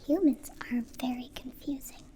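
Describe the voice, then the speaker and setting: Woman doing a kind alien girl for asmr, breathy mellow whispering, slow n formal, bit of background noise. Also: high voice, close to an everyday voice. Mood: curious, matter-of-fact. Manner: stilted, formal, precise phrasing without contractions. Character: gentle captive-born female alien caring for a wounded human. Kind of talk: ASMR roleplay, mostly whispered.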